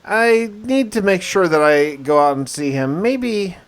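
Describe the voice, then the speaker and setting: Guy with a kinda higher voice doing a casual gameplay recap, informal and musing out loud. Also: lively intonation, a little slow, smooth. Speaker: gaming video narrator. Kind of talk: casual first-person gameplay recap narration.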